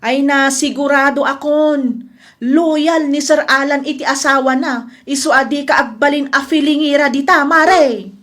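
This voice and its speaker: Woman acting high-pitched, chiding female friend. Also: fairly even intonation, measured pace, fairly bright tone. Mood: reproving. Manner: chiding, emphatic. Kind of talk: melodramatic audio comedy-drama acting.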